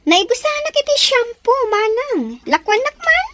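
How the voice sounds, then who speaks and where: A woman's voice: very high-pitched, very animated, moderate pace, pushed and bright, no echo, heavily acted. A woman playing a cheerful young secretary who giggles often and talks playfully. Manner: bubbly, giggly.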